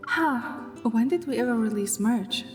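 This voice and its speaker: A woman playing a fond, gently teasing young girlfriend and film producer in a romantic game audio drama. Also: on the high side, lively intonation, moderate pace, soft background music, close to an everyday voice. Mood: puzzled.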